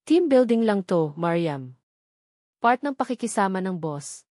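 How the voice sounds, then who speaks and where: A woman's voice: mid-range voice, very animated, unhurried, a little mellow, no echo. Casting: woman narrator as guarded young female employee in a romance audiobook, hesitant, polite, self-conscious, dry close sound. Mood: self-reminding.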